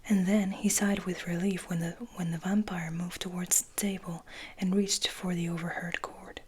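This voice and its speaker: A woman whispering softly and slowly as an ASMR host, in a hushed manner with gentle pauses. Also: fairly low pitch, little pitch movement. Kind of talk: whispered, soft-spoken ASMR. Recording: noticeable background noise.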